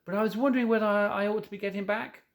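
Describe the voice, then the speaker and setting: A man's voice: sits high, fairly even intonation, rapid-fire, pitched above the performer's own voice. A man voicing a polite, hesitant young girl from a children's storybook. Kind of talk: children's storybook read aloud.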